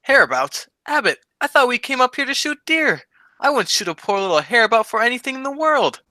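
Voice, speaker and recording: A man's voice: extremely high pitch, very animated, fairly quick, bright, forceful tone, dry recording, full character voice. A man playing a childlike, cowardly, wisecracking comic sidekick in a radio comedy sketch, with silly, naive punning.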